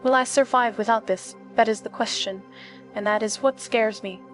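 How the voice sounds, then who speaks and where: A woman's voice: on the high side, normal speed, close to an everyday voice. A woman playing a shaken young test subject in a dramatized story, questioning and emotional in manner. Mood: anxious, doubtful. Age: young adult. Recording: music behind the voice.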